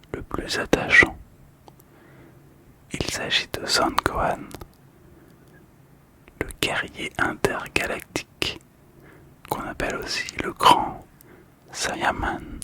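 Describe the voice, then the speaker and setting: A man hosting a relaxation video in a soft, calm, breathy whisper, telling stories in ASMR style. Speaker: host of a relaxation channel about collectible cards.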